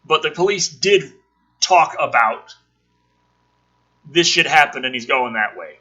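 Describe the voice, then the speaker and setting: Male video host, husky and very forceful, lively pitch swings, theatrical reads mixed with sardonic, cursing commentary. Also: unhurried. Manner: sweary, sardonic asides; theatrical, animated delivery. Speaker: video host reading a film script aloud. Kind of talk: dramatic script reading with casual commentary.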